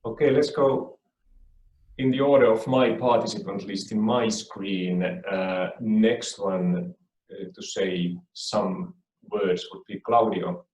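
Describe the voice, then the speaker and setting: A man moderates an online meeting, handing out speaking turns slowly and with many fillers. His voice is a little low. He sits back from the mic, and the room around him echoes. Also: darkish tone, distant mic. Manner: slow, hesitant, many fillers. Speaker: conference moderator. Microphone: video call.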